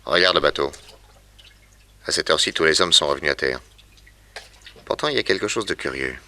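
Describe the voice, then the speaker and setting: A man's voice: extremely low pitch, lively intonation, a little fast, pushed and bright, a little hiss or hum, exaggerated voice. An actor playing a shrewd, confident detective who explains what he observes, in a 1960s French radio serial. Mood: confident, observant.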